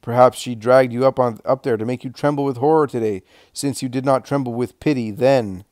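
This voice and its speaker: Brief: man voicing a loud, mocking Roman legionary, pitched up, dry close sound. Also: a little fast, no echo, natural voice. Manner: mocking, taunting. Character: scornful male Roman soldier, loud and jeering.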